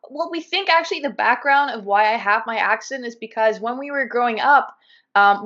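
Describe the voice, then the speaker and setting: A woman with a Californian accent chatting in an animated, casual way, full of fillers like "um" and "like," over a video call. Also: on the high side. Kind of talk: casual conversation. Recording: muffled video call audio.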